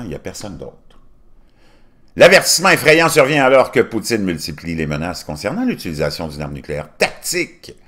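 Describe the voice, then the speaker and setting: A man in his fifties with a Québécois accent, reading news articles aloud and commenting on them, bursting into loud emphasis and then dropping to low muttered asides. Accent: Québécois French. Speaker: commentary channel host. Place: home studio with virtual backdrop.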